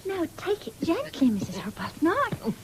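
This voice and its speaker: A woman's voice: very high-pitched, huge pitch swings, moderate pace, background noise, heavily acted. An actress playing a polite, sensible young English servant girl in a radio drama. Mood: soothing, patient. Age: young adult. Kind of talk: 1970s radio drama acting.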